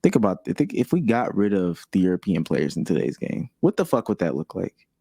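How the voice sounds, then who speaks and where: A man's voice: sits low, big pitch swings, brisk, vocal fry, mellow, breathy edge, no room sound, clean studio sound. A man co-hosting a podcast, talking sports in casual group banter with a drawling, loose delivery. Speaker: podcast co-host.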